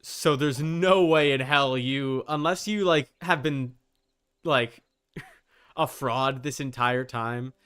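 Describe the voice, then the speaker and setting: A man's voice: medium pitch, takes it slowly, a bit bright, clear, resonant tone. A man livestreaming a game, chatting informally and teasingly with his viewers and swearing casually. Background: background music.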